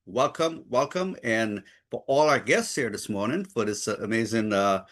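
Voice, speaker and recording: Low-voiced man hosting an online training call. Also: expressive, fairly bright tone. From Trinidad and Saint Croix, Caribbean. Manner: upbeat, effusive welcoming, wordy and halting. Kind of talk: informal webinar presentation.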